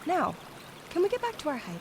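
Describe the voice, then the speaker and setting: A woman's voice: very high-pitched, huge pitch swings, a little fast, noisy background, full character voice. A woman playing a bold, determined young hiker in an amateur audio drama. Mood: exasperated. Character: bold, determined young woman, peacemaker among hiking friends.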